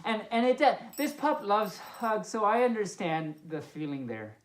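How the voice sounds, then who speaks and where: A woman's voice: mid-range voice, sing-song, normal speed. A woman hosting a children's story time as a friendly pup, reading expressively and adding playful, amused asides. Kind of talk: expressive storybook read-aloud with chatty asides. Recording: roomy, echoing indoor sound.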